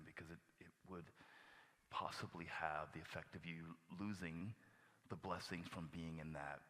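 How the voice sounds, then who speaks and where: Male panelist, very low voice with a forceful edge, halting and thoughtful, long hall reverb. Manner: halting, many restarts, soft trailing asides. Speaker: panel speaker who gives Friday sermons at a jail. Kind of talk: reflective panel talk. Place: church hall.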